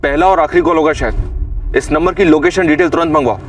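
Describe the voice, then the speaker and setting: A man playing a calm, analytical police officer giving instructions in a TV crime drama. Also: a little high, fairly quick, recorded from a distance, lightly acted. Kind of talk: Hindi TV crime drama acting.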